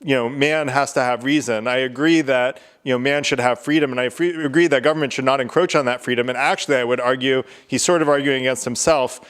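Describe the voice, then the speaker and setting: A man arguing in a debate, loud and casual, with frequent ums and uhs. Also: medium pitch, steady tone, quick pace, few pauses, clear, resonant tone. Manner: loud, casual, many fillers. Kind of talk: loose spoken debate argument.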